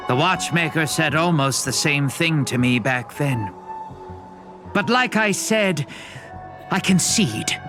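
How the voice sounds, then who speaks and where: Slow, theatrical, world-weary film director. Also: male voice, fairly high pitch, animated, over music. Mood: resigned. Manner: measured, dramatic, deliberate. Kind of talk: dubbed anime game dialogue.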